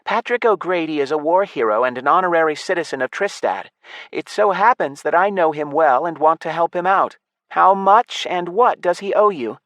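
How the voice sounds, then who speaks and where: A man voicing an earnest young elf girl with a raised, higher voice in an audiobook. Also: moderate pace, a bit bright, dry recording, lightly acted.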